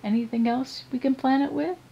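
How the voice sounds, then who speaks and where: An older woman telling a story to young children in a playful, expressive manner, addressing them directly. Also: high-pitched, fairly quick, gravelly, slightly rough, muffled recording. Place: indoors in front of a curtain, filmed for online program.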